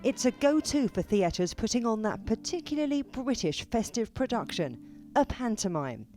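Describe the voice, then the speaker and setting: Female news reporter, scripted feature narration, animated pitch, playful storytelling, music underneath. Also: moderate pace.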